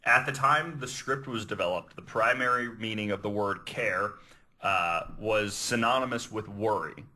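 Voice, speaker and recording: A man's voice: on the low side, moderate pace, sharp tone, low-quality recording. A man hosting a podcast, earnestly explaining researched material and sometimes stumbling over his words. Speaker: podcast host. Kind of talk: reading researched notes, explanatory podcast talk.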